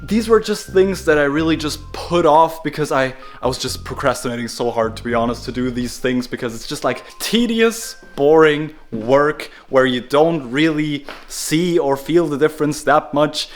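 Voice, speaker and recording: A man's voice: a little high, animated, moderate pace, hardly any pauses, faint music behind. A man with a German accent, a native German speaker, chatting casually to camera as a car build vlogger who laughs at himself. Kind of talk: casual vlog narration. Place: car workshop.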